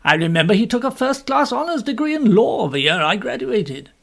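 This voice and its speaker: A woman voicing a chatty, assured, easygoing educated gentleman in an audiobook. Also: fairly high pitch, very animated, brisk, gravelly, heavily acted. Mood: breezy, assured. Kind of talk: audiobook character dialogue.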